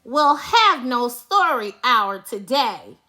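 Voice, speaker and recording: A woman puts on a villainous substitute teacher from a storybook, a stern witch of a woman. She hisses and snaps out orders slowly, in a high voice that swings up and down with menace. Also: sing-song, pushed and bright, heavily acted. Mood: stern, bossy. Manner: snapping, hissing, commanding. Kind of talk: children's storybook read-aloud.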